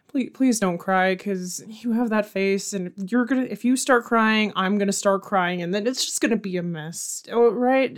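A woman's voice: mid-range voice, lightly acted. A woman playing a flustered, placating night radio host in an audio comedy, chatty and rambling, often interrupting herself. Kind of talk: audio comedy drama in radio show format.

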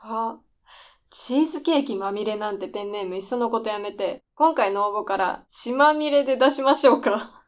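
A woman's voice: fairly high pitch, normal speed, close to an everyday voice. A woman playing a desperate, defiant aspiring scriptwriter who needles in polite speech, in a radio drama. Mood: eerily flippant. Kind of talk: radio drama dialogue acting.

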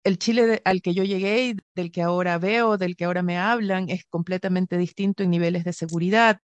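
A woman's voice: fairly low pitch, steady tone, brisk, no room sound. Woman from Ecuador asking questions. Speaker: host. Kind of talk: interview questions.

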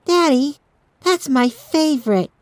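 Guy doing a sleepy happy little girl for an audiobook, soft n really high voice. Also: animated, takes it slowly, no room sound, full character voice. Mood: happy, dreamy. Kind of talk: audiobook character voice.

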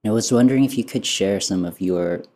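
A woman's voice: a bass voice, fast, mellow. A woman in her thirties hosting a podcast, chatting casually in a friendly interview style. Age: 30s. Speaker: podcast host.

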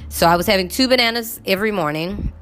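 A woman's voice: medium pitch, fast talker, fairly bright tone, slight background noise. A woman in her fifties chatting casually to her viewers, rambling with lots of fillers while getting over a cough. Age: 50s. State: recovering from illness, cough. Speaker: vlogger.

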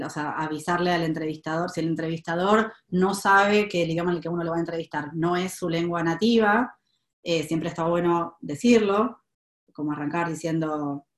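Hesitant Rioplatense woman, echoey room. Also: lowish voice. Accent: Rioplatense Spanish. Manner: thoughtful, many hesitations and restarts. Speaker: career advice guest. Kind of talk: live Q&A webinar talk.

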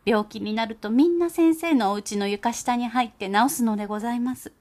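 A woman's voice: fairly high pitch, a little fast, darkish tone, natural voice. A woman voicing an anxious, humble, and very polite mother field mouse in a storybook reading.

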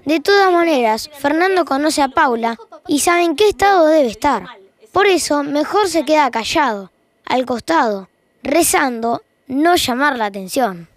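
A woman's voice: sits high, lively intonation, unhurried. A woman with an Argentine accent reading scripted, wry narration for an audio fiction.

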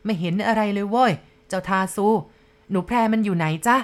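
A woman voicing a coarse, panicky older village woman in a storybook tale, yelling. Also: medium pitch, fairly quick, lightly acted. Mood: panicked.

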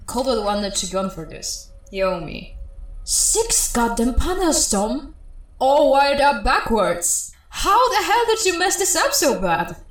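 A woman's voice: high-pitched, big pitch swings, slow pace, exaggerated voice. A woman playing a blunt, exasperated female systems technician in a sci-fi comedy audio drama, with sarcastic delivery. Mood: furious. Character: exasperated, scolding female spaceship systems tech. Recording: roomy echo.

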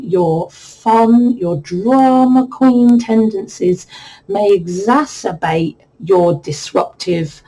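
A woman giving a chatty, repetitive numerology reading, her voice croaky and a bit worn from the change of season. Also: on the low side, warm tone, poor audio. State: voice croaky from a cold spell. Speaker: numerology reader. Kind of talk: explanatory numerology reading monologue.

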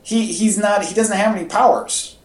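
A man's voice: high-pitched, normal speed. Man chatting casually, echoey recording. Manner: enthusiastic fan talk with casual slang. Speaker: podcast host. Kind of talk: casual pop-culture podcast chat. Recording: echoey, roomy home podcast audio.

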